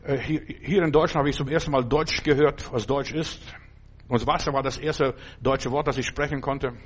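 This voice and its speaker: An older man preaching informally in German, which is not his first language, with a chatty manner, frequent "verstehst du?" and halting restarts. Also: mid-range voice, fairly even intonation, husky. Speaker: street evangelist preacher. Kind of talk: informal sermon.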